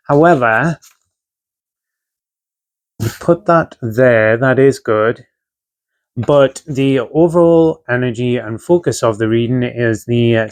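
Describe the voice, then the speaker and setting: A man gives a relaxed tarot reading with plenty of fillers and asides. He is still recovering from a chest infection, and his mid-range voice sits close and dry with no room sound around it. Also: mid-range voice, no echo. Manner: conversational, with frequent fillers and asides. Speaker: tarot reader. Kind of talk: casual tarot reading to camera.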